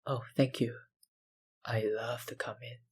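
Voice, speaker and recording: A slow, husky vampire researcher, acted by a man for an ASMR roleplay. He is smooth-talking and obsessive, with menacing politeness. His breathy, deliberate words sit at a medium pitch and often sink toward a whisper. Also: little pitch movement. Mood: calm, reassuring. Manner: slow, deliberate, politely insinuating, with sighs and pauses. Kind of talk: intimate ASMR roleplay monologue.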